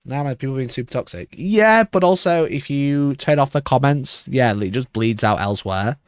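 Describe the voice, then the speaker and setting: Hoarse man, rambling stream chat. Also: mid-range voice, animated, moderate pace, dry recording, clean studio sound. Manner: rambling, restarts mid-sentence. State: rough, hoarse voice. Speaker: livestream host. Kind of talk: casual livestream chat.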